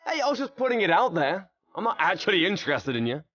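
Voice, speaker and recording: A man voicing a cocky, good-natured teenage boy in a manga dub, casual and expressive. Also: sits high, quick pace, no room sound, highs cut off, full character voice. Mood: upbeat. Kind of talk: manga dub narration and dialogue.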